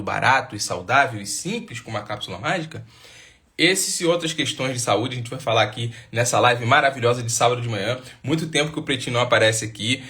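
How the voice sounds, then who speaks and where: Man, live-stream host, bright tense voice, casual and upbeat. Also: medium pitch. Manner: upbeat, repeats catchphrases.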